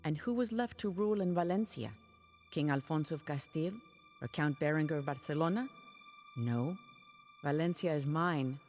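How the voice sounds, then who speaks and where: Actress as a solemn, proud Spanish noble widow. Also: female voice, deep voice, close to an everyday voice. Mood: defiant, proud. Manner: solemn, measured, theatrical. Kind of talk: dramatic scripted cutscene narration. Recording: loud music behind the voice. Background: music.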